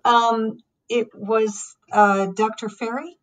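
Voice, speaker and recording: A middle-aged woman from Lebanon, a podcast guest, telling her story calmly and reflectively with frequent pauses and ums. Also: a little high. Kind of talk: conversational storytelling.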